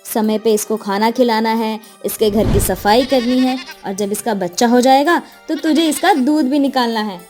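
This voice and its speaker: A woman's voice: fairly high pitch, normal speed, few pauses, darkish tone, soft background music, lightly acted. A woman voicing an elderly, hardworking village mother-in-law with a nagging, instructive manner in a cartoon moral story. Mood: firm explaining. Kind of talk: Hindi animated moral story dialogue.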